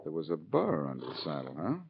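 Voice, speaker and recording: An actor playing a breezy, shrewd, needling detective with wry questioning in 1940s radio drama. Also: male voice, a bass voice, wildly swooping pitch, fast, vocal fry, background noise, heavily acted. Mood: probing. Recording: dull old radio recording.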